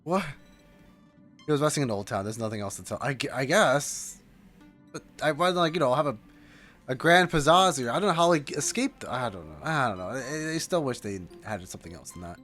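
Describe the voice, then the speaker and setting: A man giving casual, rambling reaction commentary on a video game, with halting asides and exclamations. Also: medium pitch, animated, a bit bright, soft background music.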